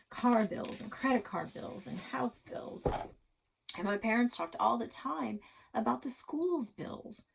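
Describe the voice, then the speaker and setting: A woman reading a children's story aloud with dramatic, expressive delivery, as a library reader. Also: mid-range voice, gravelly, slightly rough.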